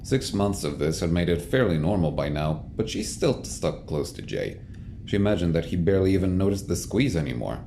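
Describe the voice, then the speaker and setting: A man's voice: low-pitched, sing-song, a little fast, dark tone, a little hiss or hum. A man narrating a scripted science fiction audio drama as its storyteller. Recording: music bed under the voice.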